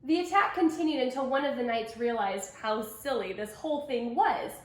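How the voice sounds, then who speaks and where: A woman's voice: on the high side, moderate pace. A woman reading a picture book aloud to children, telling it expressively and with emphasis. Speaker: storybook reader. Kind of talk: children's picture book read-aloud with asides to young listeners. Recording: echoey room.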